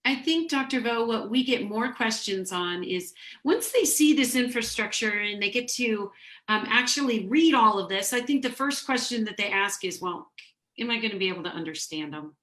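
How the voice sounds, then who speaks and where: Middle-aged woman guest, higher voice, friendly pitching tone, roomy echo on a video call. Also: animated, normal speed, fairly bright tone. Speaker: dental consultant, guest. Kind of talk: casual interview chat.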